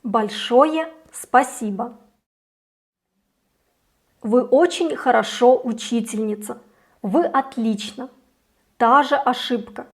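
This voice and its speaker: Slow, careful woman teaching grammar. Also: a little high. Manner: slow, careful, clearly articulated examples. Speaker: Russian language teacher. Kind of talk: scripted grammar lesson.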